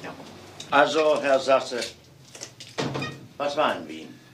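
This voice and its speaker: A man's voice: medium pitch, big pitch swings, slow. A man playing a polite but insistent police inspector in a radio crime play, courteous and controlled as he probes. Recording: noisy old radio play recording.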